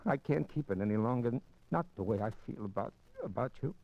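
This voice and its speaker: An American actor playing an ardent, guilt-ridden lover in a 1950s radio drama, speaking in an urgent, halting and earnest way. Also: male voice, fairly low pitch, expressive. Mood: anguished confession. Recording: dull, noisy 1950s radio recording.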